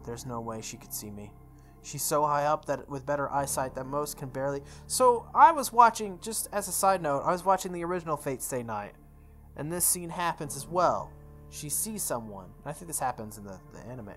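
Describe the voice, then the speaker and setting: A man's voice: mid-range voice, expressive, fairly quick, fairly bright tone. Young man narrating over game music. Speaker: let's play host. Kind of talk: visual novel playthrough, read-aloud narration with casual commentary.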